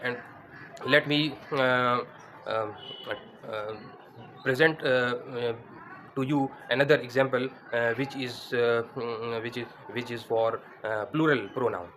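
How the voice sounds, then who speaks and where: Man, 30s, English teacher, halting speech with lots of fillers, faint room hiss. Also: mid-range voice, fairly even intonation, fairly bright tone. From Pakistan. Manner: hesitant, many fillers and restarts. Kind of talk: spoken grammar lesson. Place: indoors against a plain wall.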